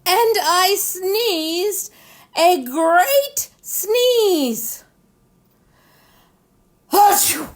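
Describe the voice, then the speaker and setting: A woman voicing a storybook wolf, male, chatty and falsely innocent, with dramatic stammering and drawn-out words. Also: very high-pitched, lively intonation, voice pushed up, exaggerated voice. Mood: dramatic, building up. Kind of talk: animated children's storybook reading.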